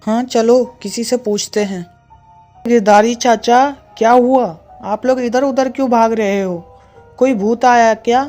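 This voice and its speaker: Woman playing clever cartoon village boy, high voice, eager, take-charge, reassuring, light music behind. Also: fairly even intonation, unhurried, mellow, lightly acted. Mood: curious. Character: clever, brave young village boy leading his friends. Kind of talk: children's cartoon story voice acting.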